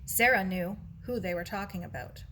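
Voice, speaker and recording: A woman reading a children's novel aloud in an expressive storytelling style. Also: mid-range voice, moderate pace, a little hiss or hum. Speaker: audiobook reader.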